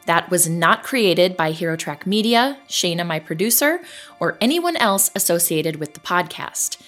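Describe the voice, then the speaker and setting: A woman's voice: fairly low pitch, expressive, moderate pace, fairly bright tone. Determined woman podcast host over music. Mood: apologetic, resolute. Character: determined female podcast host defying a stalker. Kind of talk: audio drama podcast announcement.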